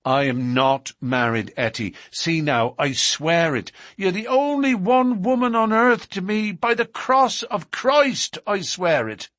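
A man's voice: high-pitched, lively intonation, takes it slowly, few pauses, fairly bright tone, no room sound, studio quality, voice pushed up, full character voice. A man doing a coaxing lover. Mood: earnest. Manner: coaxing, earnest, tender. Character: secretive young Irish-American man, passionate lover. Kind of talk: audiobook character reading.

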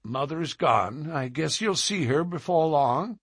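A man's voice: on the high side, animated, takes it slowly, no echo, studio quality, pitched above the performer's own voice, exaggerated voice. A man doing a parched old frontiersman. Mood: tender. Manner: rough, drawling, gentle.

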